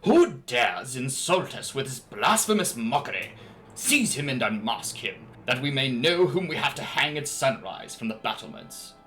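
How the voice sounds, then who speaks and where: A man voicing a bold, imperious prince in a dramatic audiobook, hoarse and outraged as he commands his courtiers. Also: medium pitch, big pitch swings, moderate pace, sharp tone, slight background noise. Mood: furious, commanding.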